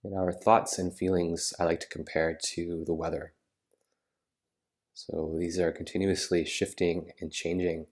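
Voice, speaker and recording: A man with a low-pitched voice leads a guided relaxation practice. His intonation stays very level and steady. He phrases things soothingly and without hurry, leaving pauses between instructions. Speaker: yoga nidra meditation guide. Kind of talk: guided meditation.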